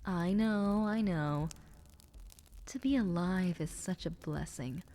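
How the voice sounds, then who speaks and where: Woman voicing a slow, sinister spider-woman, half whispering. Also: lowish voice. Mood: condescendingly kind. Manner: slow, breathy half-whispered delivery with soft menacing politeness. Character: menacing female drider, half-spider, politely sinister, condescending to humans. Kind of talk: ASMR audio roleplay.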